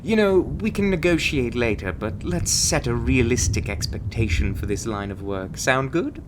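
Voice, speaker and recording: Male performer as chirpy bureau recruiter, glib cheer, veiled menace, mid-pitched, ASMR roleplay, noisy rumbling background. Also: expressive, moderate pace, close to an everyday voice. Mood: glib, upbeat. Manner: cheerful, glib delivery of grim threats.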